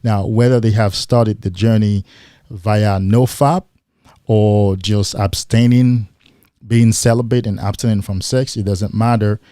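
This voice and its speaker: A man hosting a motivational livestream, speaking emphatically with rhetorical questions and now and then mimicking excited men in a higher voice. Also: a little slow, no room sound, studio quality. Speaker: livestream host.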